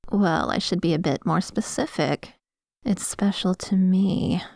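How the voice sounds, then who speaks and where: A woman playing a dominant, possessive female vampire in an ASMR roleplay, talking in a coy, deliberate and quietly menacing way. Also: lowish voice, creaky, no echo, clean studio sound.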